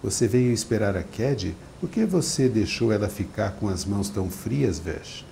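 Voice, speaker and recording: A man reading aloud and voicing a caring older sister who talks gently and coaxingly. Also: lowish voice, expressive, normal speed, creaky, a little hiss or hum. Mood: tender. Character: young woman, a warm caring older sister.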